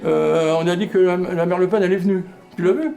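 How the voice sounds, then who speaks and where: Blunt, fast-talking older village mayor. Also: male voice, high-pitched, fairly even intonation, vocal fry, mellow. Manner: blunt, plain-spoken, colloquial. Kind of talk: casual interview chat.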